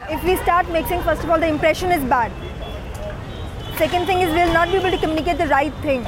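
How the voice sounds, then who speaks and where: A woman's voice: extremely high pitch, fast, a crowd in the background, background noise. A woman with an Indian English accent giving firm, opinionated answers in a street interview.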